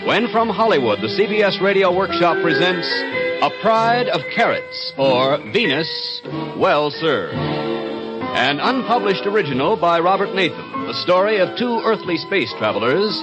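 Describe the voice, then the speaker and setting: A man announcing in formal, measured 1950s network radio style, as on a vintage broadcast. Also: high-pitched, very animated, dull audio. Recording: vintage radio broadcast over orchestral music.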